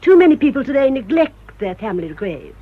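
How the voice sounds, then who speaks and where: An actress playing a sour, haughty older English village spinster in a radio drama, with pointed, formal politeness. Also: female voice, high-pitched, sing-song, fairly quick. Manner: clipped, pointed, formal politeness with barbed digs. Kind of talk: British radio drama acting. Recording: dull, noisy old radio drama recording.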